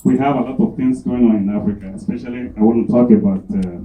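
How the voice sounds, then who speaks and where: A man with a deep voice telling a comic story to a small crowd at his business event. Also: fast, roomy sound, narrow, tinny audio. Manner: lively, animated storyteller. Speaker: business owner and amateur comedian.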